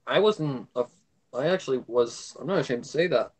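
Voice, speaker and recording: A man's voice: medium pitch, lively intonation, brisk, husky. A man in casual conversation with a blunt, grumbling way of talking. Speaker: livestream co-host. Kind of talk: casual chat.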